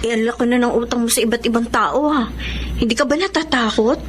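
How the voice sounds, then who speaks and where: An actress as a meddling, concerned female neighbour in a radio drama. She confides in a high voice, with faint noise behind her. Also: quick pace, natural voice. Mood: concerned, gossipy. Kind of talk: Tagalog radio drama acting.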